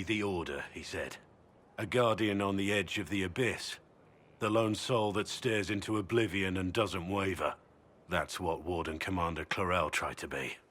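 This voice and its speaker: Brooding middle-aged warrior for a fantasy game, very low-pitched, earnest, measured, some noise behind. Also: male voice, fairly bright tone. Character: brooding middle-aged bearded male warrior, solemn and devoted. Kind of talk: video game voice acting.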